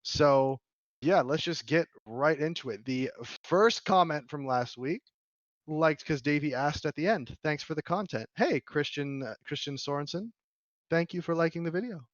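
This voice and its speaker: A man hosting a casual podcast, his voice a touch hoarse and sitting a little high. He rambles through filler words and laughs easily. The sound is dry and a bit muffled. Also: moderate pace, no room sound. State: slightly hoarse. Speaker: podcast host.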